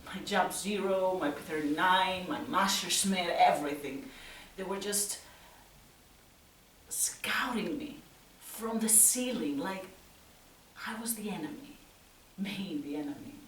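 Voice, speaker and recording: A woman acting a tense, troubled youth haunted by violent parents in a halting, intense stage monologue. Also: fairly low pitch. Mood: hushed, tense. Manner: slow, halting, intense, from hushed to loud. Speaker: actress performing a solo monologue. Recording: roomy, distant mic, faint noise.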